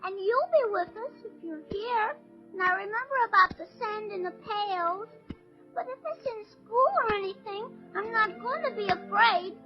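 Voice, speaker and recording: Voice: extremely high pitch, normal speed. A performer playing an earnest, brave young child in a careful 1940s radio drama style. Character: earnest young child, brave, dutiful toward little sister. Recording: muffled old radio recording, music behind.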